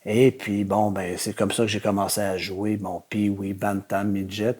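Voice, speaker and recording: Mellow older Québécois man, relaxed. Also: lowish voice, moderate pace. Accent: Québécois French. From Quebec City, Canada. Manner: relaxed, many fillers, trailing off at phrase ends. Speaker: guest historian. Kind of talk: conversational interview answers.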